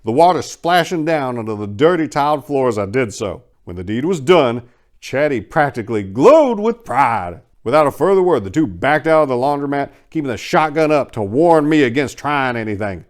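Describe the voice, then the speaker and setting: A man narrates a story in the first person with a very animated, swooping delivery. His pitch is middling for a male voice. He sounds sardonic and exasperated, playing up the drama as he goes. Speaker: narrator reading a short story.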